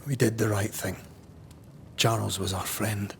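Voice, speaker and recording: A man playing a rugged, soft-hearted ghost hunter in a video game drama, gentle and earnest. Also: lowish voice, flat delivery, measured pace, creaky, a little hiss or hum. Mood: sombre.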